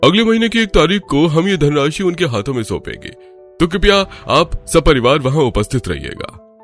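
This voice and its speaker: A man's voice: a little high, sing-song, normal speed, a bit bright, faint music behind. A man playing a genial town councillor speaking formally in a cartoon. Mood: jovial, congratulatory. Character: genial male town councillor making an official announcement.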